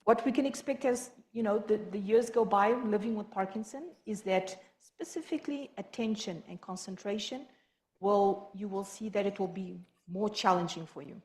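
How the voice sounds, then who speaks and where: Fast-talking woman. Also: medium pitch, darkish tone. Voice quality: quick, halting delivery with many restarts. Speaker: health professional. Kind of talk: support group presentation. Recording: echoey room.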